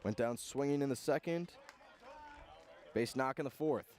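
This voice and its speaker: A man doing live radio play-by-play of a baseball game in clipped phrases, with pauses between calls. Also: mid-range voice, darkish tone, some chatter behind. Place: outdoor ballpark broadcast.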